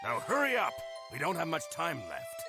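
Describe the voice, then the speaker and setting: A man's voice: on the high side, huge pitch swings, moderate pace, vocal fry, music in the background, heavily acted. A man voicing a menacing, contemptuous wolf leader in a cartoon adventure game, talking in a threatening, condescending way. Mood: menacing, stern.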